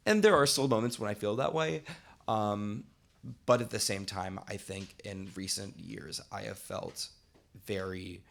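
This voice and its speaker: A man's voice: on the low side, measured pace, gravelly, a bit bright. A young man, a college student, talking on a panel in a rambling way with many fillers. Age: young adult. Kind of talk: casual panel discussion.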